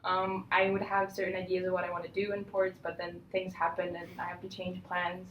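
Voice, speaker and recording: A woman's voice: on the low side, monotone, brisk, a little hiss or hum. A young woman from Croatia, a student, speaking thoughtfully with frequent hedges. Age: young adult. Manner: thoughtful, hedging with sort of. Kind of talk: casual podcast interview. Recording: echoey, dull sound.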